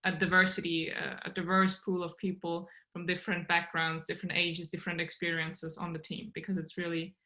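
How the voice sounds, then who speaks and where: Flat-toned young woman, video call. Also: on the low side, brisk, hardly any pauses. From Austria. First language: German. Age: young adult. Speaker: startup co-founder guest. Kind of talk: podcast interview answers. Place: small bedroom at home.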